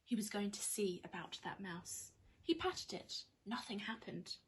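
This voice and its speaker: Expressive woman reading a children's storybook. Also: medium pitch, unhurried. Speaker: primary school teacher reading a story aloud.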